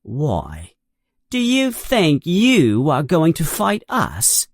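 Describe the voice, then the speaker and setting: A man voicing an arrogant schoolboy bully in an audiobook, speaking in a slow, mean, menacing way. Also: on the high side, wildly swooping pitch, vocal fry, no echo, clean studio sound, voice pushed up, exaggerated voice. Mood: scornful.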